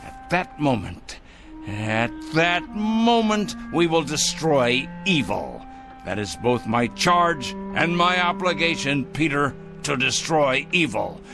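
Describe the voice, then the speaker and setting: An actor playing a fanatical, self-righteous male vigilante in a radio drama, swinging from hushed murmurs to shrill outbursts. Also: big pitch swings, takes it slowly, vocal fry, heavily acted. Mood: zealous. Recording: faint music score behind.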